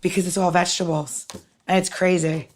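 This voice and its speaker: A woman's voice: lowish voice, little pitch movement, brisk. A woman co-hosting a podcast, talking in a chatty, informal way in casual conversation. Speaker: podcast co-host.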